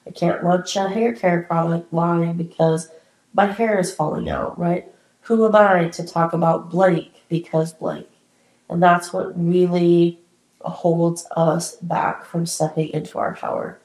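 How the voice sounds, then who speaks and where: Woman host, candid and encouraging, slightly congested, low-ish voice, roomy echo. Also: fairly even intonation, measured pace, darkish tone. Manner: candid, encouraging, casual swearing. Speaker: podcast host. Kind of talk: solo reflective podcast talk.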